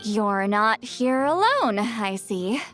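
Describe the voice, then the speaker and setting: An actress voicing a proud former commander who is guarded, wry and quick to get flustered, in video game dialogue. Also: female voice, a little high, very animated. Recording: game audio over faint music.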